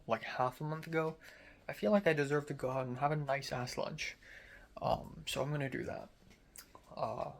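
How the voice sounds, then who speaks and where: A man's voice: medium pitch, vocal fry. Young male vlogger, American accent, grew up in Hong Kong; loose, rambling diary talk with fillers and swearing. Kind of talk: casual video diary.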